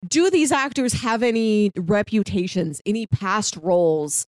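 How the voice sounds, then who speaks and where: A woman's voice: medium pitch, measured pace, dry recording. A woman co-hosting a podcast, chatting expressively and casually about a TV show. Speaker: podcast co-host.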